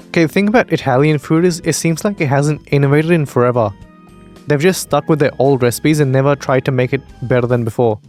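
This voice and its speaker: Young male reaction host, blunt and opinionated, medium pitch, faint music bed. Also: darkish tone. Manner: blunt, opinionated, swears freely. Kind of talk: casual reaction commentary.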